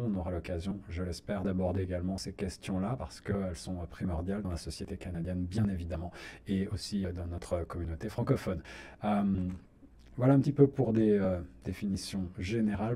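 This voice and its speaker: Brief: male radio host, low and mellow voice, formal and measured, pauses to find his words. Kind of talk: radio talk show hosting. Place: radio studio.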